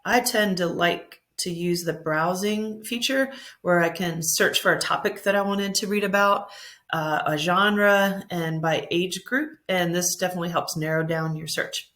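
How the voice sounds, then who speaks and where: A woman's voice: on the low side, fairly even intonation, measured pace, a bit bright. A woman speaking as a teacher, giving a conversational, encouraging tutorial presentation. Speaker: teacher presenting a reading app.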